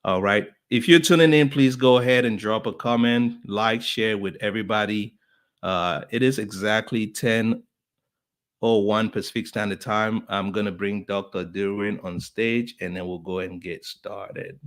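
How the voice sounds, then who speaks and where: Male podcast host, a bit low-pitched, loose and chatty, lots of "uh" and "you know", calls out viewers by name. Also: steady tone, unhurried. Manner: chatty, friendly, lots of fillers. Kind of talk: casual live-stream welcome.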